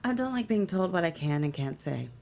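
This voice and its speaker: Actress as proud, creaky-voiced woman mogul, lively delivery. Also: fairly low pitch, brisk. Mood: resentful, defensive. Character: proud, resentful female media mogul, defiant under pressure. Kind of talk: table read of a screenplay, dramatic dialogue.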